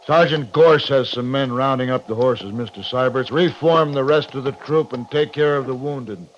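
Cavalry captain male actor: American, medium-pitched, steady, terse, sombre authority, dull vintage radio-drama sound. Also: moderate pace, lightly acted. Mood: brisk, commanding. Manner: terse, military, controlled. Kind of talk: 1950s radio western acting. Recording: muffled noisy 1950s radio recording.

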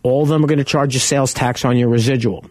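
Loud-voiced man hosting a radio show. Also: mid-range voice, steady tone, fast talker, dry recording, studio quality. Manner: conversational, rambling, anecdotal. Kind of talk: casual radio talk show monologue.

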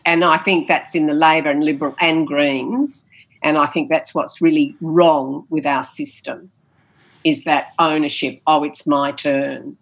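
Woman, former politician, lowish voice, emphatic, repeats her points, big theatrical voice. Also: fairly even intonation, measured pace. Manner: emphatic, repeats key phrases, frequent "you know". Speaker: former politician, interview guest. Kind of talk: interview answers, reflective storytelling.

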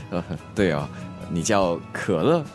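A man's voice: low-pitched, big pitch swings, drawn-out words, soft background music, full character voice. A man acting a shy, awkward suitor in a radio drama, hesitant and stammering, with a Singaporean accent. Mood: nervous, hopeful. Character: shy, awkward man hoping to reconnect with a woman.

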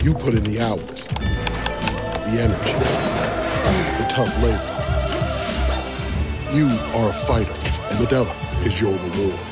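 A man voicing a beer commercial in a gruff, punchy, motivational delivery. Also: lowish voice. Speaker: ad announcer.

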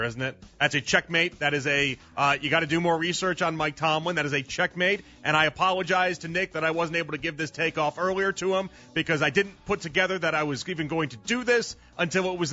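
A man in his thirties hosting sports talk radio, arguing his points with rhetorical questions. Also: a little high. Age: 30s. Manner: animated, argumentative, rhetorical questions. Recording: slightly dull radio broadcast with faint music.

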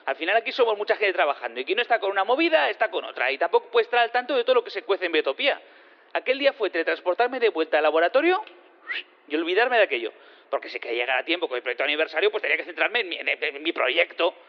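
An actor in an audio drama playing an eager space physicist on the witness stand, rambling, hesitant and repeating himself. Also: male voice, high voice, very animated, very fast. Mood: rambling, apologetic. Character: rambling, eager male space physicist who teleports things. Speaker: witness in a courtroom. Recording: dull lo-fi sound in long reverb.

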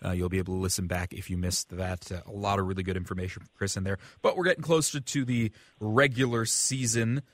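A man's voice: deep voice, fast, hardly any pauses, no room sound. American man, dry close radio sound. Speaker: sports radio co-host. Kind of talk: casual sports talk.

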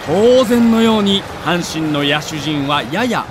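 A man's voice: a little high, animated, measured pace, a crowd in the background, noisy background. A man narrating a sports documentary in a theatrical, emphatic storytelling manner.

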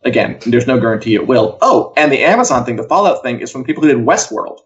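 A man's voice: medium pitch, big pitch swings, fast talker. Casual, wry man, slightly dull audio. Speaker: co-host of an entertainment news live show. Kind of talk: casual opinionated chat about TV and games.

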